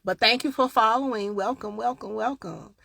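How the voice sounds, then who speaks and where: Southern lady chatting on a live stream, bright tone, dry sound, kinda tired, gets loud then goes quiet. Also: fairly high pitch, normal speed, vocal fry, dry recording. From Southern United States. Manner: chatty and expressive, swinging from loud bursts to quiet asides. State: a little tired. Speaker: grief coach and podcast host. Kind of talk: casual live-stream chat answering viewers.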